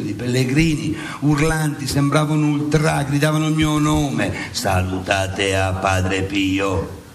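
A male actor plays a needling, self-glorifying friar saint in a stage satire. He argues in a high-pitched voice with sarcastic, mock-courtly grandeur. The sound is roomy and far from the microphone, with some noise. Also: lightly acted. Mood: gloating. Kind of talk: theatrical satirical stage monologue and debate. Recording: echoey stage, distant mic, faint noise.

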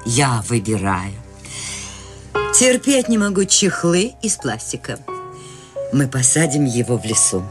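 An actress playing Maude, an eccentric, free-spirited older woman, lively and teasing, in a radio play. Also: rumbling low, a little slow, pauses often, over music, heavily acted.

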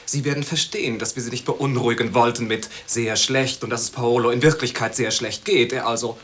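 A man's voice: medium pitch, steady tone, a little fast, fairly bright tone, slightly rough. An actor playing an opinionated man in a theatrical radio comedy. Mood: pedantic. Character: opinionated bystander in an absurd comedy.

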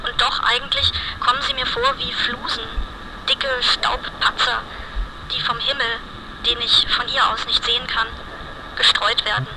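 A performer voicing a weary, alienated, sexually indefinite inner voice, feeble and halting, in an experimental radio monologue. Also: mid-range voice, steady tone, noisy background, lightly acted. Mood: drained, gloomy. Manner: slow, halting, deliberate monologue. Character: weary, alienated, androgynous inner voice, gloomy and listless.

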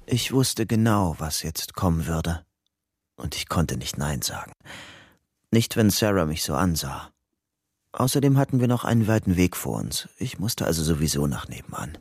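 Young man on the run, low-pitched, guarded, sardonic, crime radio drama. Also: sing-song, normal speed, darkish tone, breathy edge, dry recording, clean studio sound, full character voice. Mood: brooding, narrating. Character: wary, troubled young man on the run, narrating his story. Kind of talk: German crime radio drama, narration and dialogue.

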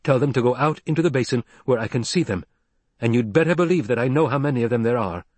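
A man reading the lines of a calm, controlled noblewoman, quietly and in a measured way. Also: medium pitch, no room sound, close to an everyday voice. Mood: cold. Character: calm, cool, controlled noble woman fighter.

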